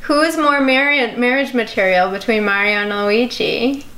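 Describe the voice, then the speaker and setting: A woman's voice: fairly high pitch, normal speed, a bit bright. A young woman hosting a casual interview, chatty and giggly, laughing often. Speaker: host. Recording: roomy echo with crowd noise.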